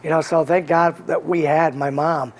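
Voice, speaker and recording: Gravelly man with a slight Boston accent. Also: on the high side, flat delivery, normal speed, a little hiss or hum. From Connecticut. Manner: earnest storyteller, rambling with many restarts. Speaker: guest. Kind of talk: casual conversational interview.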